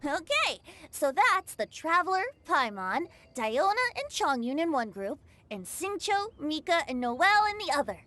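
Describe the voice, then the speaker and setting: Very high, cheerful young organizer. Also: female voice, big pitch swings, measured pace, hardly any pauses, sharp tone, no room sound, full character voice. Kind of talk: anime game voice acting.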